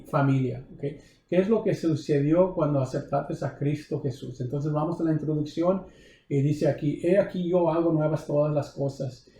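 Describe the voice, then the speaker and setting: Mellow-voiced pastor in an echoey room. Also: male voice, fairly high pitch, a little hiss or hum. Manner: halting, with many fillers and restarts. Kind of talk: recorded Bible lesson.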